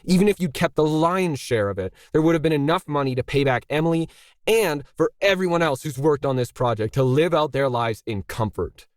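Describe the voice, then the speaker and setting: A man's voice: fairly high pitch, expressive, brisk, no room sound, studio quality, pitched above the performer's own voice, natural voice. A man voicing a bitter middle-aged male artist in a story, indignant, pressing and sarcastic. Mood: bitter, aggrieved.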